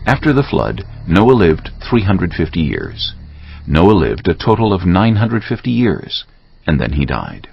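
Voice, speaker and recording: A man narrating a dramatized scripture reading in a measured, storytelling manner. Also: low-pitched, sing-song, vocal fry, husky. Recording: slightly dull audio over music. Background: music.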